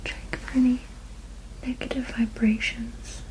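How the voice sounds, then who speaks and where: Whispering woman, gentle witch healer ASMR roleplay, breathy, soothing, reassuring, soft dark tone, little pitch movement. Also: little pitch movement, measured pace, low-quality recording. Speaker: ASMR performer.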